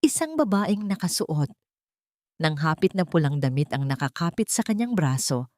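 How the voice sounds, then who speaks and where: Female narrator for dramatic audio fiction. Filipino accent, fairly low voice, very little room sound. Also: sing-song, moderate pace, darkish tone, no echo, clean studio sound. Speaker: storyteller, narrator. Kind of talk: dramatic scripted audio story narration in Tagalog.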